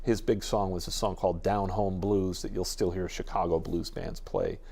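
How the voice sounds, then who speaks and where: Lower-pitched man chatting as an expert guest. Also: steady tone, normal speed, vocal fry, darkish tone, husky. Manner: discursive, explanatory. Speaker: radio jazz expert guest. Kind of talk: enthusiastic, informative interview chat.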